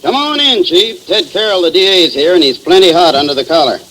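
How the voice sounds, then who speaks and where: An actor playing a wry, slangy small-town police deputy with a dryly joking manner, in 1940s radio drama style. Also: male voice, sits high, expressive, brisk, a bit bright. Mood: breezy, urgent. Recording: noisy old 1940s radio recording.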